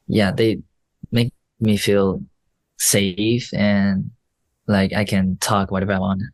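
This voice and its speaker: A young man whose first language is Chinese speaks English slowly, with a Chinese accent. His voice sits fairly low, and he stops and restarts to find words. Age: young adult. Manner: halting, simple English with restarts. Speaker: young actor interviewed as guest.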